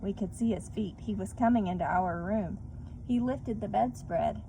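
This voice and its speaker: A woman reading and discussing a children's story like a teacher, expressively and speaking directly to young listeners, with clear background noise. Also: mid-range voice, moderate pace, dark tone, poor audio. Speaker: read-aloud teacher. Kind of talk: children's story read-aloud with questions to listeners.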